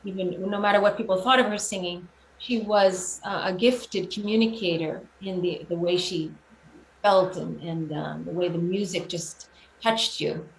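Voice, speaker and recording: A middle-aged woman giving reflective, earnest interview answers about her own career, often halting and rephrasing as she goes. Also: on the low side. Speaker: guest, children's music performer and teacher. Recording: roomy echo, clear background noise.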